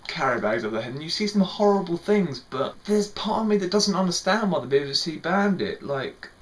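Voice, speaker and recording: A man's voice: fairly high pitch, animated, a little fast. Rambling young man reviewing. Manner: rambling, repeats words, lots of fillers like "sort of". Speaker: film reviewer. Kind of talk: casual spoken review.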